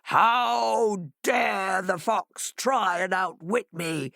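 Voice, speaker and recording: A woman's voice: deep voice, expressive, normal speed, no echo, clean studio sound. A woman voicing Leviathan, an angry, commanding sea monster king.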